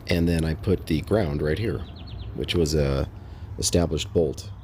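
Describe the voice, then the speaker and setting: A man casually narrating a how-to vlog in a halting, unscripted, matter-of-fact way. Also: very deep voice, a little mellow, a little hiss or hum. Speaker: host of a DIY install vlog.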